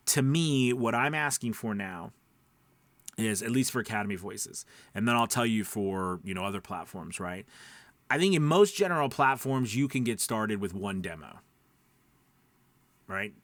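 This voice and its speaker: A man with a fairly low voice speaks in a dry, close recording. He teaches informally like a coach, leaning on key points, restating them and tagging phrases with little check-ins. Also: fairly bright tone, no room sound. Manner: emphatic, repeats points, checks in with "right?". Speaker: voice-over coach and platform owner. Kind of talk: informal instructional talk.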